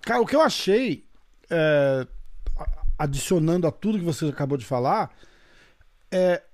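A man's voice: on the high side, expressive, normal speed, a little mellow, no echo, clean studio sound. A Brazilian man chatting casually about fights, slangy and loose with frequent restarts. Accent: Brazilian Portuguese. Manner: laid-back, slangy, hesitant restarts. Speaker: podcast co-host. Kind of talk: casual MMA discussion podcast.

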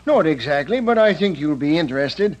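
An actor playing an earnest, cordial prison warden who speaks with deliberate authority in old-time radio western style. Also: male voice, a little high, lively intonation, dull audio, lightly acted. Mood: cordial, relieved.

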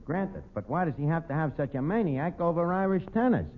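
A man's voice: on the high side, expressive, fairly quick, lightly acted. Male actor as streetwise New wisecracker running a tavern, pompous and sardonic, on a noisy, tinny vintage radio comedy recording. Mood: exasperated, sarcastic. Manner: sardonic, streetwise, malapropism-prone. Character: wisecracking, self-important tavern manager with mangled grammar. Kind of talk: 1940s radio comedy acting.

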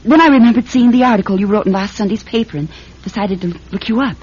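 An actress playing an earnest, slightly hesitant young nightclub singer with a husky voice in a radio mystery. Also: female voice, mid-range voice, quick pace, a little mellow, lightly acted. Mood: worried. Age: young adult. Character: April, earnest, worried young nightclub singer, hopeful niece. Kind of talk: radio mystery drama acting. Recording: muffled radio recording.

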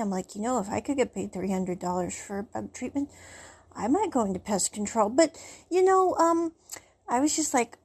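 A woman talks through her day in a casual, chatty monologue, laughing now and then as she goes. Her voice is fairly high and a little husky. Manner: chatty, animated storytelling with laughs. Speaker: vlogger.

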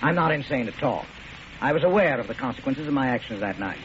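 Defiant doctor on trial, male actor, haughty and precise, mid-pitched, vintage radio before a crowd. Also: animated, fast, natural voice. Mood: impatient, defiant. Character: proud, indignant male doctor on trial, insisting he is sane. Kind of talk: 1940s radio drama acting. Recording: muffled old radio, live audience.

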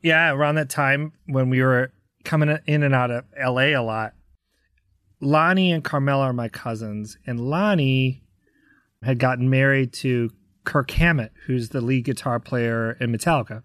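An American man, a musician being interviewed, telling anecdotes in a casual, animated way and acting out the dialogue. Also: medium pitch, moderate pace, clear, resonant tone, no echo, studio quality. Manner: conversational, acts out quoted dialogue. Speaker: interview guest, rock drummer.

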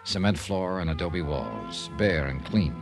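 A hardboiled radio detective who narrates in a deep voice that rises and falls a lot. He tells the story in a measured way, and music sits clearly under the old recording. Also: expressive. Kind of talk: 1950s radio drama narration.

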